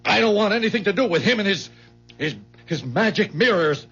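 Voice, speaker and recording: A man's voice: high voice, animated, brisk, fairly bright tone, slightly rough, exaggerated voice. An actor playing an angry, suspicious man who bullies and sneers impatiently in a 1970s radio drama. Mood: rattled, defiant. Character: angry, bullying, suspicious male accuser. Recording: dull 1970s radio recording with music.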